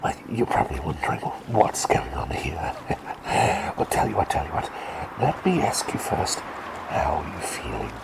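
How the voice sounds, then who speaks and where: A man's voice: rumbling low, wildly swooping pitch, moderate pace, gravelly, exaggerated voice, rough recording. A man voicing a quirky, eccentric male plague doctor who has turned into a small talking bird, chatting and rambling in a whispered roleplay. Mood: soothing whisper. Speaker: ASMR roleplay performer.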